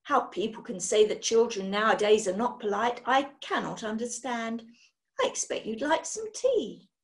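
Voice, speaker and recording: Voiced by a woman, this witch is brisk, eccentric and easily offended. Her high voice swoops about wildly. She gushes theatrically and climbs into squeaks when outraged. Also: expressive. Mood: gushing.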